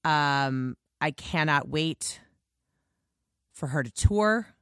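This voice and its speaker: Hoarse, scratchy-voiced woman podcast host. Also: low-pitched, lively intonation, very slow, a bit bright, no echo, clean studio sound. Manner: gushing, rambling with restarts. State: hoarse, lost voice. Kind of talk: casual podcast monologue.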